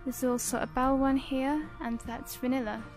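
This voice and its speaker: A woman's voice: high-pitched, steady tone, moderate pace, over music. A British woman narrating a casual shopping vlog, listing items in short descriptive phrases. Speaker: shopping vlogger. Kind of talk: casual shop-with-me product showcase narration.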